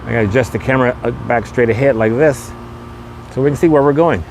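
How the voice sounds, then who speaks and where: A man's voice: medium pitch, fast, a little mellow. A man hosting a casual walking tour outdoors, talking in repetitive promotional patter. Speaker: podcast host and walking tour guide. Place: outdoors on a shopping mall street. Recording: noisy outdoor street with passing cars.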